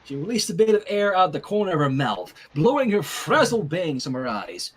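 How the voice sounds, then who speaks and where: A man's voice: a little high, very animated, moderate pace, slightly rough. A man hosting a fan fiction reading, playful, narrating the story and breaking off for chatty asides. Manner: playful, self-interrupting. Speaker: host, fan fiction reader.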